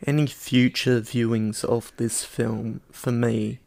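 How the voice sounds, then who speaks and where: An Australian man chatting casually as a podcast co-host, with a dry, deadpan manner. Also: mid-range voice, slow pace, gravelly, dry recording. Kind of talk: casual chat.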